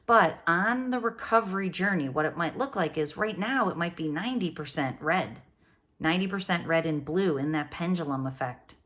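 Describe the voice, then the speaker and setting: A woman with a notably low voice talks directly to her listener as a coach and host. She is upbeat and encouraging, and she keeps asking rhetorical questions to push them forward. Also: expressive, moderate pace, a bit bright. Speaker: brain coach and podcast host. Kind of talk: motivational solo talk to camera.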